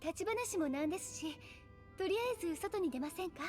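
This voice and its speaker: A refined young noblewoman from an anime game, with a high voice. Her speech is composed, polite and genteel, set over soft background music. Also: lively intonation, brisk. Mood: gentle, explanatory. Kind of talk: Japanese anime game voice acting. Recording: music behind the voice.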